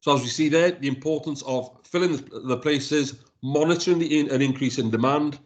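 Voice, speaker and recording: Halting man presenting. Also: a little high. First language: Welsh. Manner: halting, many repeated words and restarts. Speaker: council education officer. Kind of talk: presenting slides to a council panel. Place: council meeting room.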